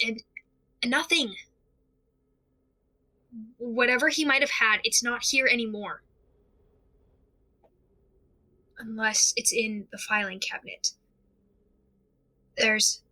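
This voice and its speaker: An actress playing a frightened, isolated young investigator in an audio drama monologue, speaking haltingly and uneasily with restarts. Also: female voice, a little high, bright, forceful tone, full character voice, rough recording. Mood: frustrated. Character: frightened isolated young investigator unravelling over mysterious tapes.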